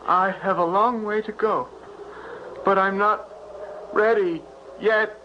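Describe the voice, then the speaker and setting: An actor playing a mournful ghostly young man in an old-time radio drama, speaking in a halting, eerie manner. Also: sits high, steady tone, pushed and bright, heavily acted. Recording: muffled, hissy 1970s radio broadcast.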